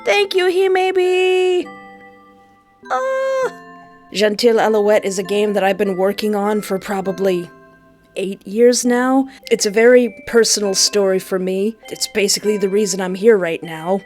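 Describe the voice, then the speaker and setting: Expressive woman, music behind her. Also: mid-range voice, a little slow. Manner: expressive, stammering when excited. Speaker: game developer playing a visual novel. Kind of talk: excitable casual playthrough commentary.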